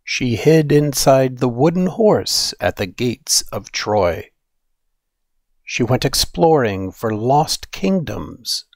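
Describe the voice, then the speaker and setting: A man reading a children's story aloud in an expressive, deliberate storytelling manner. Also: medium pitch, gravelly, no echo, clean studio sound. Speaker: storybook reader.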